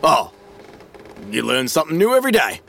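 An actor playing a slippery, matey Australian financial dealer in an audio drama, full of slangy, glib sales patter. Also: male voice, a little high, wildly swooping pitch, a little fast, creaky, bright, forceful tone, slight background noise, exaggerated voice. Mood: flustered, eager.

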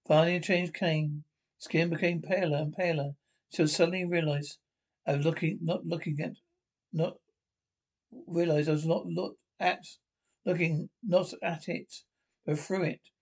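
Give me a man with a fairly high voice narrating a radio thriller script, halting and stumbling. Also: steady tone. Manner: halting, stumbling over the text.

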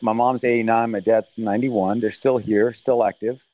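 A man's voice: on the low side, steady tone, normal speed, darkish tone, no room sound, poor audio. Earnest middle-aged man, dry sound. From Thailand. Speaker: relief mission leader. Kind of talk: remote interview answers.

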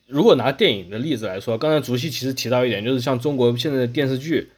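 A man speaks at a quick pace in a casual podcast discussion. His pitch sits in the middle range, and he explains things wordily, rephrasing as he goes. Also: slightly rough. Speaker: podcast host.

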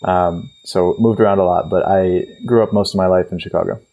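A man's voice: sits low, fairly even intonation, a little fast, creaky, dark tone, low-quality recording. A man in his twenties, born in Israel, casually telling family stories with frequent fillers. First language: Russian. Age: 20s. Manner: easygoing storyteller with fillers.

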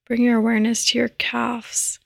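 A woman gently guiding a sleep meditation in a soft, breathy, soothing voice that often nears a whisper, close to the microphone. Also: fairly high pitch, monotone, moderate pace, no echo. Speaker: podcast host and meditation guide. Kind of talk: guided meditation, sleep podcast.

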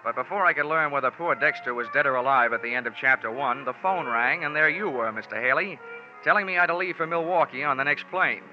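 A male actor plays a hard-boiled American private investigator from old-time radio. He narrates drily and tosses off deadpan wisecracks. The sound is dull and dated, with clear music in the recording. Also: mid-range voice, fast, close to an everyday voice. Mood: wry. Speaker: lead actor narrating as the detective. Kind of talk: 1940s radio drama acting, first-person narration.

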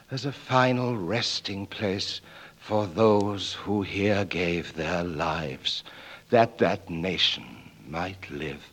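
Earnest, deferential English valet. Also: male voice, fairly low pitch, fairly bright tone, slightly rough, heavily acted. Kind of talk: 1940s radio acting.

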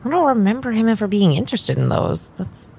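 An actress playing a jaded, reluctant tarot reader in a naturalistic improvised audio drama, hesitant and exasperated. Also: female voice, medium pitch, very animated, a little fast, creaky, a little mellow, a little hiss or hum. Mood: uneasy, explaining. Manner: hesitant, sighing, muttering.

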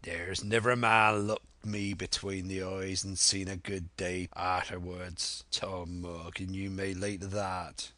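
Old pirate sea cook, slow drawl, low pitch, menacing, commanding, storybook villain. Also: male voice, flat delivery, bright, forceful tone, no room sound, exaggerated voice. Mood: threatening. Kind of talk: dramatic audiobook character voice.

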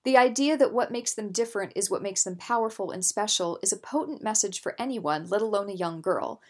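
A woman narrating scripted nonfiction as an audiobook reader, in a measured, articulate way. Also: mid-range voice.

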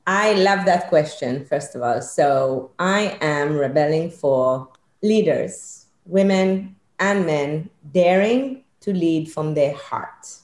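A woman with a mixed British-Israeli accent speaks in a low, mellow voice, earnest and reflective, stacking ideas into lists. She is a podcast guest calling in remotely, and her voice rings in an echoey room. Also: expressive, takes it slowly. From born in Israel, British Israeli. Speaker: guest, leadership consultant. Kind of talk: podcast interview. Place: remote call from home or office.

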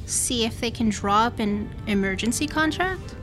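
A woman's voice: on the high side, lively intonation, moderate pace, fairly bright tone, faint music behind. A woman playing a tough, teasing space pirate captain in a radio play, with a wry, bantering manner. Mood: wry, seductive.

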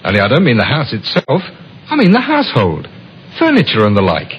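An actor playing a smooth-talking young handyman conman with folksy salesman patter and a menacing streak, in an old radio drama. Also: medium pitch, wildly swooping pitch, brisk. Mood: smooth, ingratiating. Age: young adult. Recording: dull, noisy old radio recording.

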